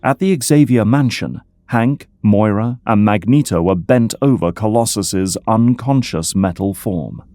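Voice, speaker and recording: A man narrating an audio drama from a script in measured storytelling prose. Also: lowish voice, lively intonation, mellow, dry recording.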